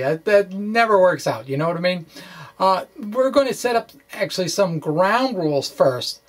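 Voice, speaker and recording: Guy with a fairly high voice walking thru physics math, chatty, kinda halting, stops and starts. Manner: conversational, chatty asides. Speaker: physics explainer. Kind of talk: informal science lecture.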